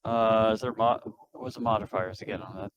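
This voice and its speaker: A man's voice: on the low side, steady tone, fairly quick, no room sound, muffled recording. A man speaking in short, casual phrases as a player in a tabletop roleplaying game. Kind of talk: casual terse game talk.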